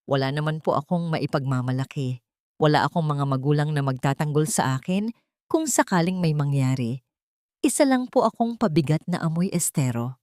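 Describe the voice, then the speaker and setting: A humble, insecure young daughter-in-law in a story, played by a woman narrator. She pleads in a trembling, self-deprecating way, her voice on the low side. The sound is dry, without room. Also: expressive, normal speed, a little mellow, no room sound. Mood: tearful, insecure.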